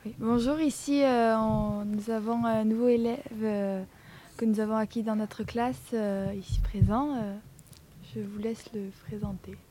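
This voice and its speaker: A female presenter giving a halting introduction of a classmate. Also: on the high side, fairly even intonation, warm tone. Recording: faint background noise.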